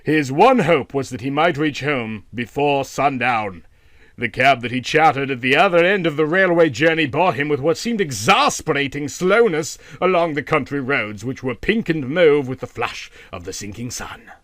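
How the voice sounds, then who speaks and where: A man's voice: mid-range voice, expressive, normal speed, a bit bright, no echo. Man narrating a story, dry close sound. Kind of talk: literary audiobook narration of a short story.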